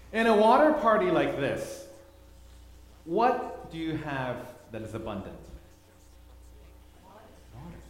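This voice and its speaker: A man from Thailand giving a sermon with slides, telling the story with repeated words for emphasis. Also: a little high. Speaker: preacher. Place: church hall. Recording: echoey hall, long reverb.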